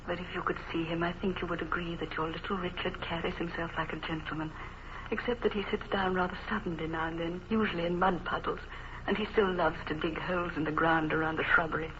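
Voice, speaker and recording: An actress playing a devoted English gentlewoman reading her letter with refined affection in a 1950s radio drama. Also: on the low side, fairly even intonation, fast talker, close to an everyday voice. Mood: fondly amused. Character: devoted, fondly amused English gentlewoman wife. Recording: muffled, hissy old radio recording.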